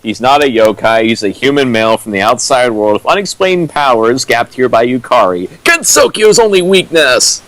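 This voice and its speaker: A man's voice: mid-range voice, animated, moderate pace, hardly any pauses, fairly bright tone, dry recording. A young man chiming in with dry comments and fan lore during a comedic reading. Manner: dry, explains lore with fillers. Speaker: co-host riffing on a fan fiction.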